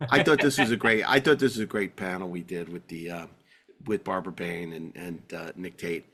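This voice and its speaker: A man's voice: sits low, fairly quick, fairly bright tone. Middle-aged American man, rambling enthusiast. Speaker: podcast host. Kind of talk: casual enthusiastic fan chat.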